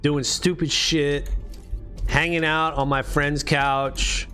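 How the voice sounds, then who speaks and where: Late-thirties male streamer, slightly high pitch, casual and profane, faint music underneath. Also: flat delivery, slow, bright, forceful tone. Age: late 30s. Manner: laid-back, slangy and profane, dude-and-bro talk. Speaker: livestream host. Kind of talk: casual live-stream chat.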